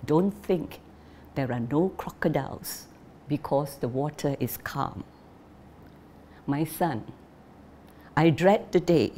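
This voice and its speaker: A woman reading the letter of a worried old father to his son, slow and earnest. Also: sits low, dark tone.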